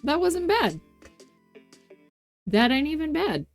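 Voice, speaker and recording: A woman hosting a casual, unscripted software tutorial, talking in a playful, folksy way with informal grammar and self-deprecating asides. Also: sits high, very animated, takes it slowly, faint music behind. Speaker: tutorial host.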